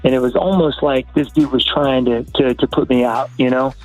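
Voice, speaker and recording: A man's voice: mid-range voice, fairly even intonation, normal speed, a little mellow. A male fighter talking casually after a bout in an aggrieved, insistent way. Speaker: professional fighter. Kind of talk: casual post-fight talk. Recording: dull, lo-fi audio with music.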